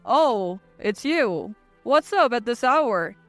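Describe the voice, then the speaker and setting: Woman doing a smug callous mama's boy husband for a story, highish smooth voice, really dry sound. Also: animated, slow pace, fairly bright tone, no room sound, faint music behind, heavily acted. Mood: flippant. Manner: dismissive, self-satisfied.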